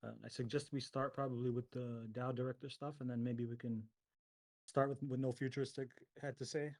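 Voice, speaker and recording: A man's voice: medium pitch, flat delivery, fairly quick, darkish tone. Laid-back man on a call. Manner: laid-back and loose, with uhs and slangy greetings. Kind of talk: casual call discussion.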